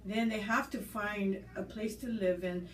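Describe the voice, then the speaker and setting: A woman's voice: medium pitch, steady tone, measured pace, faint music behind. Earnest woman in a roomy, distant recording. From United States. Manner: earnest, thoughtful, with frequent fillers and restarts. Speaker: school staff member interviewed. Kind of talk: conversational interview. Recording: roomy, distant mic, faint background noise.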